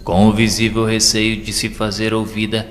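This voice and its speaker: A Brazilian man playing a solemn spirit narrator who recounts his journey with compassion in measured, literary audio-drama narration. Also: lowish voice, monotone, close to an everyday voice. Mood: grave, descriptive. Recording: long hall reverb, music underneath. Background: clear music.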